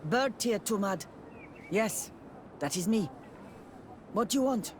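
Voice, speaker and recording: A woman's voice: medium pitch, expressive, takes it slowly, a little mellow, a little hiss or hum. An actress playing a guarded, protective village mother in a video game, wary and plain-spoken. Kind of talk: video game cutscene acting.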